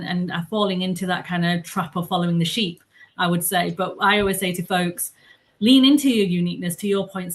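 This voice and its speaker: A woman's voice: fairly low pitch, fairly bright tone. A woman moderating a panel discussion, earnest and encouraging as she speaks to the founders.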